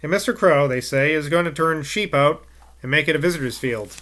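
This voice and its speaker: A man's voice: fairly high pitch, fairly quick, fairly bright tone, slight background noise, natural voice. Guy doing a cranky old rustic fella, growly dialect, grumbles like an ogre. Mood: storytelling. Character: grumpy old rustic man, ogre-like mutterer.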